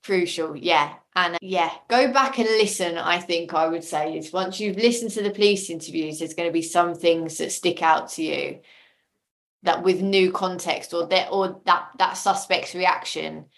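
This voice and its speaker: Woman podcast guest, slightly low voice, effusive and chatty. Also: normal speed, a bit bright. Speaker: podcast guest, audio drama maker. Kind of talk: casual interview chat.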